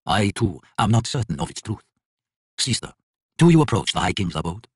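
A man voicing a stern, grave elder god with a low growl in a fantasy audiobook. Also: very animated, normal speed, slightly rough, no room sound, studio quality. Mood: grave, uncertain.

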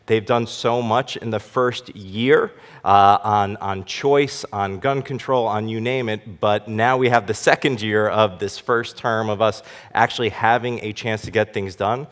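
Low-pitched panelist in his thirties, pointed and critical, reverberant stage hall. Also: steady tone, a little slow, fairly bright tone. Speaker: panelist, city council member. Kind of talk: panel discussion. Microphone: headset mic.

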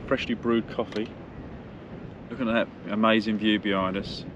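A man's voice: lowish voice, fairly even intonation, moderate pace, highs cut off. A man, chatting in a laid-back, colloquial way for a travel vlog outdoors. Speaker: travel vlogger. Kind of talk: casual chat. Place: outdoors on a windy clifftop. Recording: wind noise on camera mic, outdoors.

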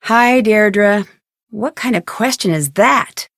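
A woman playing a young, inquisitive and determined female amateur detective in a video game, speaking her scripted lines clearly. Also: mid-range voice, takes it slowly, a bit bright, dry recording, studio quality. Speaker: voice actress in a detective adventure game.

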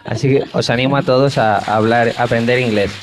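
A man's voice: mid-range voice, fairly even intonation, rapid-fire, background noise. A man with a Spanish accent from Spain, working as an interpreter relaying a talk to an audience.

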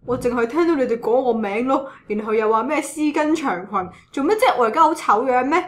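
A woman as a squeaky, pouting church lady. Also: fairly quick, close to an everyday voice. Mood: indignant. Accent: Hong Kong Cantonese. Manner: girlish, indignant, pouting. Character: vain, coy, whiny unmarried church woman. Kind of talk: comedic radio drama acting.

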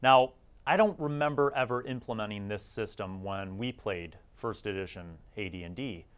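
A man's voice: fairly low pitch, normal speed, clear, resonant tone. A middle-aged man giving casual, conversational review commentary as the host of a gaming series, chatty and informal with emphasis on key words.

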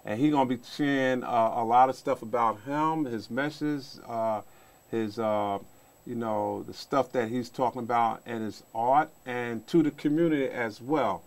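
Middle aged guy hosting a small studio interview, average pitch, kinda rambly. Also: moderate pace. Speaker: talk show host. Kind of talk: informal interview.

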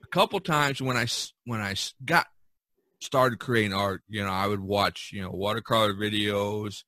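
A man hosting a casual podcast conversation, talking in a halting, conversational way. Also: on the low side, pushed and bright, dry recording. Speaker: podcast host.

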